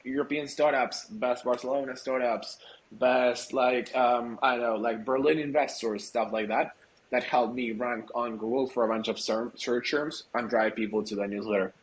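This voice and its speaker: A man's voice: mid-range voice, steady tone, normal speed, a little hiss or hum, poor audio. A man from Argentina whose first language is Spanish, chatting casually as an interview guest and feeling for his words in an informal, hesitant way. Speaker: podcast guest, startup growth executive.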